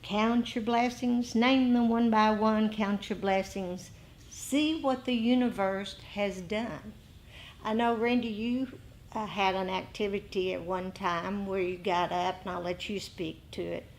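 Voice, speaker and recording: A woman giving an informal dharma lesson to a meditation group, pausing between short phrases. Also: medium pitch, steady tone, a little hiss or hum. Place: indoor group meeting.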